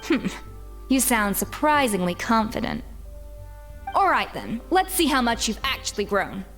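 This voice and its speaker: Self-assured female chef, crisp formal diction, fairly high, long reverb, game dialogue. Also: sing-song, measured pace, a bit bright, heavily acted. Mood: cool challenge. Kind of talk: video game voice acting. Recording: long reverb with music behind.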